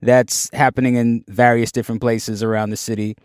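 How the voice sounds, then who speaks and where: A man's voice: medium pitch, flat delivery, fairly quick, darkish tone, clear, resonant tone, no room sound, studio quality. A man hosting a radio show in a casual chat style, with informal, short, reactive phrasing. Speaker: radio host.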